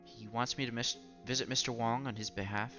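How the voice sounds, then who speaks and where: A man's voice: mid-range voice, fairly even intonation, a little fast, sharp tone, muffled recording. A man reading a visual novel aloud in a casual let's-play style, sometimes stumbling over words and repeating them. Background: game music.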